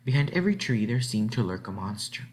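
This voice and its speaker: A man reads a children's story aloud as its narrator. He has a mid-pitched voice and expressive, dramatic phrasing, drawing out words for effect. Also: fast.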